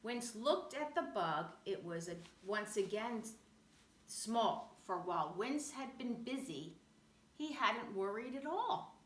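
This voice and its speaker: Woman doing a kids book read-aloud, slow n expressive, bright voice, bit echoey. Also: mid-range voice. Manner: animated, rhythmic rhyming delivery. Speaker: storybook reader. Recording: roomy echo, faint background noise.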